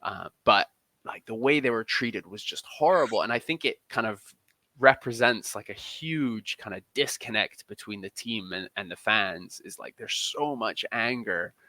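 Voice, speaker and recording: A man's voice: on the low side, animated, normal speed, pushed and bright. A man speaking as a podcast interview guest, talking emphatically and often repeating or restarting his phrases mid-thought. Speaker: interview guest, football journalist.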